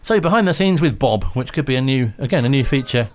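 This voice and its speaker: Fast-talking male studio host. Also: mid-range voice, lively intonation, a little hiss or hum. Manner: fast, rambling questions. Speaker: show host. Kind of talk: studio interview questions.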